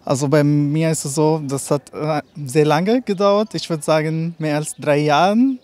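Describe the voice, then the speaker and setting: A man's voice: fairly high pitch, a little slow, a little mellow, dry recording. A young man from India, speaking hesitantly.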